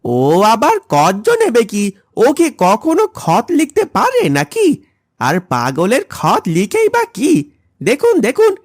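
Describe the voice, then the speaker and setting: A woman's voice: high-pitched, a little mellow, dry recording, clean studio sound, heavily acted. Woman acting wily village lawyer, wildly swooping voice. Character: wily, scolding village lawyer, male, pleading loudly. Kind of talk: cartoon moral-story dialogue.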